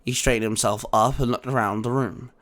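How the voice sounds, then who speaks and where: A man's voice: mid-range voice, steady tone, fairly quick, a bit bright. A man reading scripted audiobook narration for a fan fiction adventure story.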